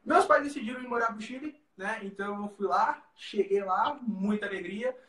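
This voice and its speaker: Professor brasileiro de voz aguda e distante. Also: male voice, high-pitched, normal speed, echoey room. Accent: Brazilian Portuguese. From Brazil. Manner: friendly, relaxed, many "né" tags. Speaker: language teacher. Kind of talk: guest on livestream.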